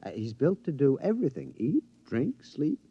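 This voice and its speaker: An actor playing a middle-aged henpecked husband, excitable and stammering with giggles, in a 1950s radio drama. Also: male voice, medium pitch, normal speed, slightly rough, lo-fi sound. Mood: pleased.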